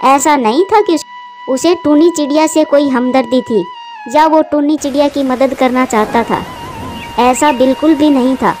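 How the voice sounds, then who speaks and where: High-pitched woman storyteller with music. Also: moderate pace, darkish tone. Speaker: narrator. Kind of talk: scripted children's story narration.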